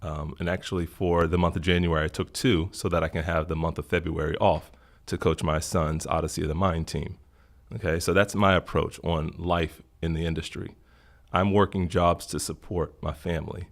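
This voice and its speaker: A middle-aged man from Florida talks in a relaxed interview, his low voice gravelly with fry. He speaks earnestly and thoughtfully, repeating phrases to stress a point. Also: moderate pace. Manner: earnest, reflective, emphatic repetition. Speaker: podcast guest. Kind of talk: casual interview talk.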